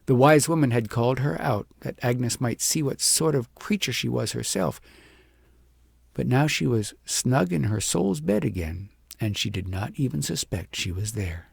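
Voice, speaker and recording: A man reads a storybook aloud with dramatic, deliberate phrasing. His pitch moves widely, and the voice is husky and creaky at the edges. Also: animated, no room sound, clean studio sound. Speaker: audiobook narrator. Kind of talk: expressive storybook narration.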